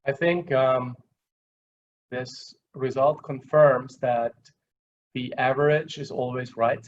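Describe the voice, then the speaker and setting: A dull, lo-fi online recording of a man with an Austrian accent. He lectures in a conversational, explanatory way at a mid pitch, halting often as he works through simple examples. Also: fairly even intonation. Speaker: guest lecturer. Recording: dull, lo-fi online call audio.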